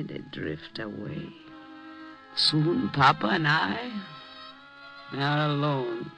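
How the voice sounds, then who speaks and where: Bright-voiced, anxious old immigrant mother. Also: low voice, full character voice, low-quality recording. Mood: wistful. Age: older. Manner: halting, broken English, emotional. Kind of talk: 1950s radio drama acting. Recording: vintage radio recording with music.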